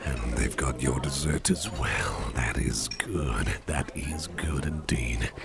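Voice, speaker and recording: A man playing a suave, doting gentleman businessman boss in an ASMR roleplay, soft-spoken, courteous and unhurried. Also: over loud music, heavily acted. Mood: pleased, indulgent. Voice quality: very deep, gravelly, breathy at times. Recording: clear background ambience noise.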